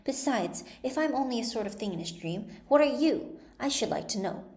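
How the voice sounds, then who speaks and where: A woman's voice: high voice, lively intonation, fairly quick, a little mellow, echoey room, pitched above the performer's own voice. A woman voicing a thoughtful, polite little storybook girl who is earnest and easily indignant. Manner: earnest, prim.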